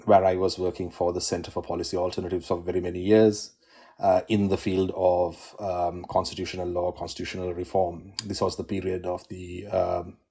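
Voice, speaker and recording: A man in his forties from Sri Lanka, a constitutional law academic, giving measured interview answers with many pauses and restarts over a video call. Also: low-pitched, dark tone.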